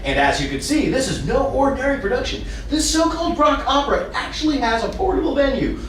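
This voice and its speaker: A man's voice: extremely high pitch, very animated, quick pace, fairly bright tone, voice pushed up, full character voice. A man reading the part of a hyped TV news reporter narrating a rock opera in a broadcast style. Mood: upbeat, hyping. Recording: very echoey room, distant mic, hiss.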